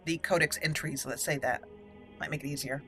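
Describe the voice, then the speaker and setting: A woman's voice: low-pitched, fairly even intonation, fairly quick, fairly bright tone, faint music behind. A woman giving chatty roleplay game commentary, thinking aloud and slipping into formal in-character lines. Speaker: gaming channel host and player. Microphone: close, dry microphone.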